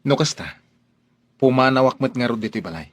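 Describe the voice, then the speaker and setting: An actor with a Filipino accent playing an earnest, stubborn young son in a radio drama, speaking firmly. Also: male voice, medium pitch, animated, moderate pace, a bit bright, no room sound. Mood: defiant.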